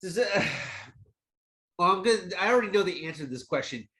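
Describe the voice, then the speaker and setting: A male host with a high voice that swings up and down a lot as he talks. He asks his questions in a laid-back, joking way, keeping the interview casual. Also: animated, moderate pace, pushed and bright. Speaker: podcast host.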